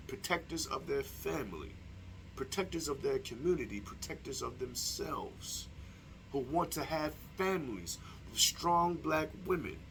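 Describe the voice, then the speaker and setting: A man speaking his mind in a blunt, profane monologue. He talks straight at the listener, his pitch bouncing widely, and his voice has a bright, forceful edge. A faint hiss of ambient noise sits under the recording. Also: vocal fry, rough recording. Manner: blunt and profane, swings between lowered and raised delivery; direct address to the listener. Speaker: commentator. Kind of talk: opinion monologue.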